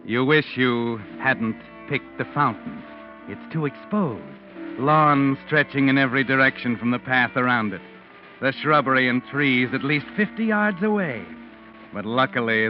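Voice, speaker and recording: Man doing sardonic old radio mystery narrator, mid pitch, deliberate, music behind. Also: lo-fi sound, natural voice. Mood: taunting, deliberate. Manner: knowing, taunting, deliberate. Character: sardonic omniscient narrator addressing the murderer.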